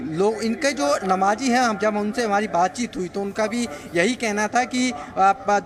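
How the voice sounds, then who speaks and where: A man reporting live for the news from the street, speaking in a halting, repetitive way with frequent hesitations. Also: sits high. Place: busy street market, outdoors.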